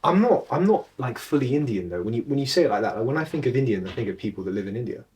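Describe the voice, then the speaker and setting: A man's voice: medium pitch, sing-song, very fast, a little mellow. A young man from England, chatting casually as a podcast co-host and rambling with restarts as he searches for words. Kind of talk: casual chat.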